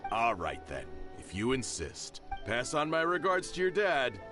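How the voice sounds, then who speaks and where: A man's voice: medium pitch, big pitch swings, unhurried, a bit bright. An actor playing a friendly middle-aged shopkeeper and father in a video game, easygoing and chuckling, with faint music behind. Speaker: merchant.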